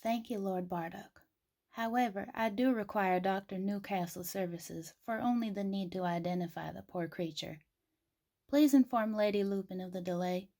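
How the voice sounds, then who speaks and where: A woman's voice: medium pitch, normal speed, dark tone, lightly acted. An actress playing a composed, formal woman who politely but firmly directs officials in an audio drama.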